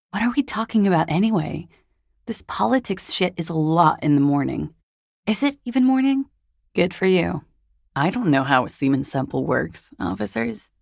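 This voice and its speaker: A woman playing a jaded, evasive young disco dancer in a video game, talking in a languid, wry and guarded way with trailing pauses. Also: low voice, creaky, a little mellow, no room sound, studio quality, heavily acted. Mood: ironic, coolly intrigued, then weary, guarded. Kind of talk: video game character dialogue.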